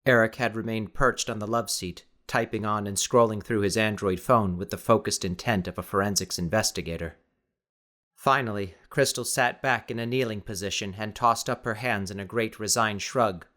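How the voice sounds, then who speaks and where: Measured male audiobook narrator. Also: fairly low pitch, steady tone, fairly bright tone. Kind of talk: scripted dramatic fiction narration.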